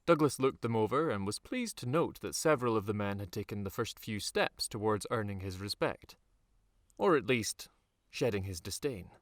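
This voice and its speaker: A man narrates a fantasy novel in a fairly low voice. His delivery is scripted and measured, with dramatic phrasing and deliberate pauses. The recording is dry, with hardly any room around the voice. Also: expressive, no room sound. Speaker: author reading his own novel.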